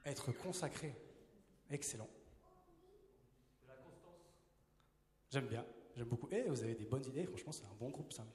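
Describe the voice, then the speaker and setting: A man's voice: mid-range voice, lively intonation, moderate pace, long pauses, a little mellow. Playful young man preaching in a hall. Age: 20s. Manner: playful, chatty, asks the crowd questions. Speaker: youth preacher. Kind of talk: casual joking sermon to a youth crowd. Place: large hall with a live audience. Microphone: handheld mic. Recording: roomy hall reverb.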